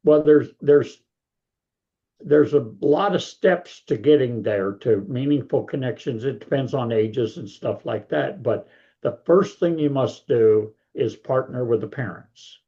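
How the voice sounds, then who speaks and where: A man's voice: medium pitch, measured pace, warm tone, dull audio. An older man, a grandparent, talking casually as an author guest in an interview, in a big voice with a folksy, rambling manner.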